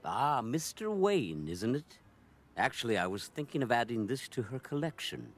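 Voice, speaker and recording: A sardonic cartoon crook with airs of refinement. His voice rides huge pitch swings as he purrs out barbs, oily and self-satisfied. Music hums faintly behind him. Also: very animated, normal speed. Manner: smug, sardonic, mock-courtly. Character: scheming grown man, a short stout criminal with refined airs. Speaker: villain. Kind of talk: animated series voice acting.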